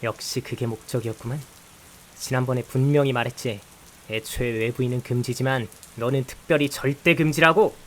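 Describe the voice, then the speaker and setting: Man as weary high school boy, reluctant partner type. Sarcastic, dry, mid-pitched, over rain and hiss. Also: fairly quick, natural voice. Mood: exasperated, blunt. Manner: blunt, dry, sighing and sarcastic. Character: grumbling, exasperated male high school student, reluctant partner. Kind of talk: visual novel voice acting with some first-person narration. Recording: hiss with steady rain behind.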